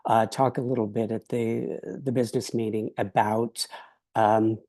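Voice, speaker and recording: A man who is losing his voice talks over a video call with a dark, mellow tone in a dry, close sound. He halts constantly, filling gaps with "uh" and restarting his sentences. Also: lowish voice, level tone, no room sound. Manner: halting, full of "uh" and restarts. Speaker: professor and regional officer. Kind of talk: unscripted remarks on a video call.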